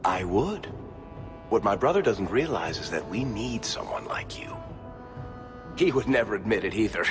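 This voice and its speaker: An actor playing a roguish, smooth-talking male dwarf storyteller in a video game, with a wry, theatrical, persuasive way of talking. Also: on the low side, sing-song, moderate pace. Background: game music.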